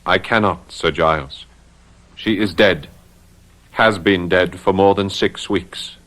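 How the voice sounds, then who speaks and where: A man's voice: low-pitched, slow pace, fairly bright tone, low-quality recording. A man acting a grave, solemn commander of spirits with theatrical delivery in a radio drama.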